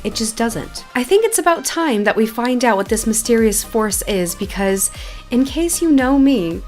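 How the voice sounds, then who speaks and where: A woman's voice: on the high side, animated, moderate pace, a little mellow. A woman hosting a gameplay video in a chatty, enthusiastic way, giving upbeat commentary. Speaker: YouTube gaming host. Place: indoor voice-over over game footage. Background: background music.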